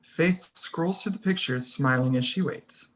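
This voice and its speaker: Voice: mid-range voice, unhurried, a bit bright. A narrator reading scene headings and stage directions aloud at a screenplay table read.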